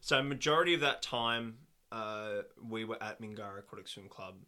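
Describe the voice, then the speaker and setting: A young man hosting a casual interview, relaxed and laughing easily. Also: on the low side, normal speed, clear, resonant tone. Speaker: podcast host.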